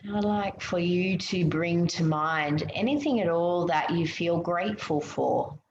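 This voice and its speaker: A woman leading a guided meditation in a soothing, gentle way, giving unhurried instructions with pauses. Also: fairly low pitch, expressive. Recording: muffled, roomy, noticeable background hiss.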